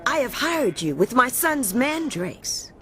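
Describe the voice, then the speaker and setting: A woman's voice: high voice, big pitch swings, slow pace, a bit bright, a little hiss or hum, full character voice. An actress playing a proud, yearning wife and mother in an audio Bible drama.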